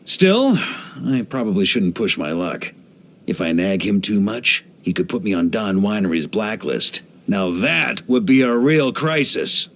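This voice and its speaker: An actor playing a hearty, easygoing veteran knight grandmaster in a video game, speaking in an expressive, playful, conversational way. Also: male voice, lowish voice, measured pace, heavily acted. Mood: easygoing, wry. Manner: jovial, expressive, playful, chuckling asides.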